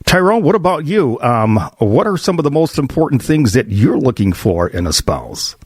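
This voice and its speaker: A man's voice: mid-range voice, big pitch swings, normal speed, slightly rough, no room sound. Man hosting a podcast, dry close sound. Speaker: podcast host; bishop. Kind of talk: roundtable interview.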